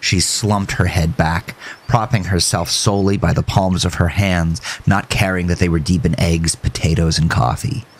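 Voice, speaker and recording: A man narrating a scripted horror story in a measured, solemn way. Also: sits low, steady tone, slight background noise.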